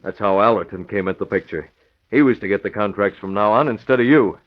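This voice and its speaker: Radio drama lead: male actor as shrewd masked vigilante, low commanding voice, clipped and decisive, dull vintage recording. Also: fast, close to an everyday voice. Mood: menacing, commanding. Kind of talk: old-time radio drama acting.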